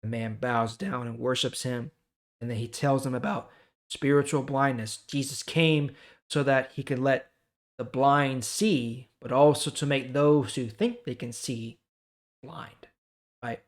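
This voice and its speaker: A man's voice: mid-range voice, unhurried. A man hosting a Bible reading, explaining scripture informally and checking in with the listener.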